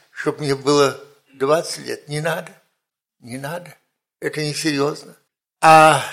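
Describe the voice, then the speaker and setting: A man's voice: fairly high pitch, vocal fry, fairly bright tone, breathy edge. A man in his seventies preaching informally, in a conversational way with rhetorical questions and repeated phrases. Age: 70s. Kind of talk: informal sermon with personal anecdotes.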